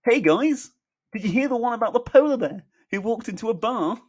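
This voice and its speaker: A man's voice: very high voice, expressive, brisk, darkish tone, husky, voice pushed up, full character voice. A man voicing an over-eager, cheerful male joker with a perky, chirpy delivery in a comic script. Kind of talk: comic script read-through.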